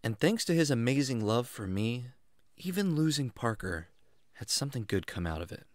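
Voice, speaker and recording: A man's voice: medium pitch, normal speed, dry recording, clean studio sound, lightly acted. A man playing a kindly, enthusiastic jungle man who teaches patiently and expressively in a children's radio drama. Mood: gentle, reflective. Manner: expressive, reassuring, explanatory.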